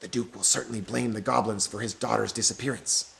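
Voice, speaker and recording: A man's voice: lowish voice, steady tone, a little fast, vocal fry, a bit bright, rough recording. A man doing a smug, scheming bishop. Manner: terse, smug, confident. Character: scheming male bishop in disguise, smug and heartless.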